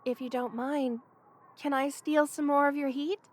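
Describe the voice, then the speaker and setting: A woman's voice: high voice, fairly even intonation, moderate pace, natural voice. A woman voicing a playful, affectionate young lamia best friend in a casual, teasing ASMR roleplay. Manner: casual, teasing, conversational with soft chuckles. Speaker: voice actor in audio roleplay. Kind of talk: ASMR roleplay, one-sided friendly chat.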